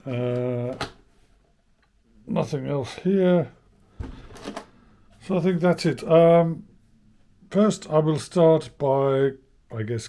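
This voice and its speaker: A man's voice: mid-range voice, warm tone. A man giving an informal, unscripted hands-on demonstration, thinking aloud in a hesitant way as he explains. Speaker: host of a vintage radio repair channel.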